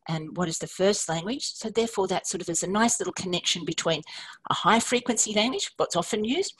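A woman giving a measured conference presentation, explaining slides with occasional fillers. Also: on the low side, husky, rough recording. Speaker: academic presenter.

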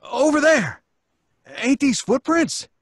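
A man's voice: very high voice, animated, slow pace, a bit bright, no echo, clean studio sound, full character voice. A man playing a fearful, superstitious rustic townsman who stammers, in an old-time radio horror play. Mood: uneasy. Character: nervous, superstitious rustic townsman, reluctant sidekick.

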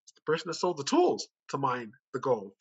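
A man's voice: medium pitch, huge pitch swings, a little fast, breathy edge. A man giving an informal, persuasive sales presentation at an online conference, conversational and fond of rhetorical questions, speaking over a video call. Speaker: company director giving a sales pitch. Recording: dull, muffled video call audio.

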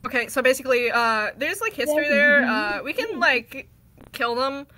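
High, bright-voiced woman goofing around. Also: lively intonation. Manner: halting, giggly, irreverent. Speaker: amateur fandub voice performer. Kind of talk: playful improvised cartoon fandub. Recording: noisy home recording.

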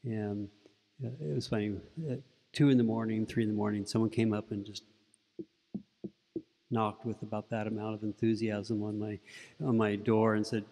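A soft-spoken middle-aged man, a Buddhist monk, giving a relaxed, rambling talk into a stand mic in an indoor meditation room. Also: fairly low pitch, normal speed, long pauses, in a large hall. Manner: relaxed storytelling with frequent restarts. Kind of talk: informal dharma talk. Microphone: stand mic close in front.

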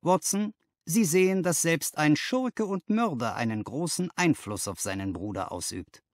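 A man voicing an excited, commanding detective in an audiobook, with emphatic exclamations. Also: on the high side, normal speed, no echo, clean studio sound, pitched above the performer's own voice. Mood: assured, commanding. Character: excited, commanding male detective, sharp and assured. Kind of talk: audiobook character dialogue.

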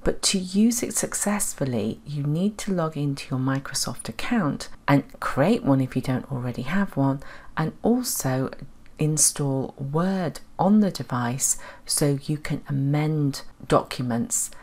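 Low-voiced woman presenting a tutorial. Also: expressive, unhurried, a little mellow. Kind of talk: explanatory software tutorial voice-over.

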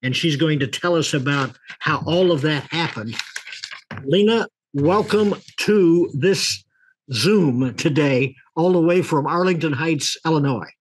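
Older man, host, husky, rambling folksy delivery, video call. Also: fairly high pitch, a little slow, poor audio. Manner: rambling, folksy, with many restarts and fillers. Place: office with a leather chair.

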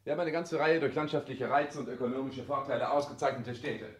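A man acts a calculating careerist son who speaks in polished, commanding phrases. The delivery is formal and theatrical, in vintage radio play manner. The sound is clearly roomy. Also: medium pitch, fast talker, close to an everyday voice. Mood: persuasive, eloquent. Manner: formal, declamatory, commanding stage diction. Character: calculating, domineering careerist son, coldly eloquent. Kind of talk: 1960s German radio play acting.

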